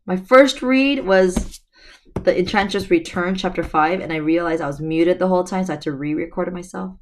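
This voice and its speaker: Brief: a middle-aged teacher, a little hoarse, reading aloud on a laptop at home. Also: female voice, on the low side, brisk. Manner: chatty, addresses students directly. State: slightly hoarse. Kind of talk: reading a novel aloud with asides. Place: room at home. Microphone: laptop webcam.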